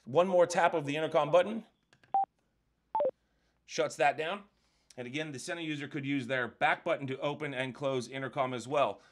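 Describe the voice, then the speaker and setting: Man, slightly high voice, casual tech tutorial, conversational, explaining step by step. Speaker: product tutorial presenter.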